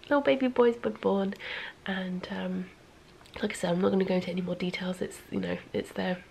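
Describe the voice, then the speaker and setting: A woman's voice: medium pitch, fairly even intonation, brisk, gravelly, a little mellow, a little hiss or hum, low-quality recording. A woman chatting casually in a vlog in a rambling way, audibly congested and sniffly, sometimes dropping almost to a whisper. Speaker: knitting vlogger.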